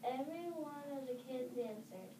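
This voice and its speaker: A woman's voice: high-pitched, normal speed. A woman reading a scripted tale aloud as part of a readers theater. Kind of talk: scripted storytelling read aloud. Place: echoey room. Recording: echoey room, distant mic, noisy.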